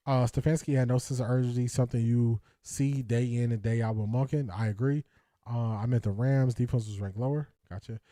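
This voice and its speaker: A man's voice: medium pitch, fairly even intonation, a little fast, dark tone, dry recording. A man hosting a casual sports talk stream, reading viewer comments and answering with frequent restarts. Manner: hesitant, many restarts and fillers, laughs. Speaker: sports talk show host.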